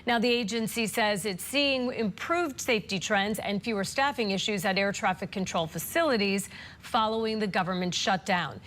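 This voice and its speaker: A woman's voice: medium pitch, moderate pace, fairly bright tone. A woman anchoring a news broadcast, introducing and questioning a reporter.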